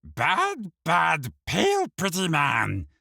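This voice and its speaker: A shrill, screeching little red imp, spitting out broken, childish sentences. He is tiny, spiteful and boastful, with a grating squeal far above a man's own voice. He drags his words slowly and sounds dry and close. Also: wildly swooping pitch, gravelly, bright, forceful tone, dry recording, heavily acted. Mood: angry. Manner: screechy, high-pitched, broken grammar.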